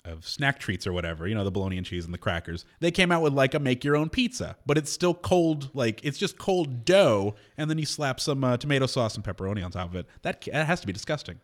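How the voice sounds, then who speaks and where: Man, radio host, hoarse, wry and joking, pitch jumping around a lot, studio mic. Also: very animated, quick pace, hardly any pauses. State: hoarse from a lingering cold. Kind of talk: casual radio banter.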